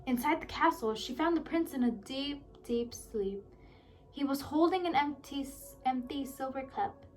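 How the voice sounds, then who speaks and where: A woman reading a children's storybook aloud with lively, expressive narration. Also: high-pitched, moderate pace. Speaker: audiobook reader.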